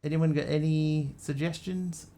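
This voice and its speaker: A man's voice: fairly high pitch, fairly even intonation, unhurried, warm tone. A man who grew up in Australia, chatting casually to his stream audience in a rambling, hesitant way with lots of ums and restarts. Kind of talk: casual live-stream chat.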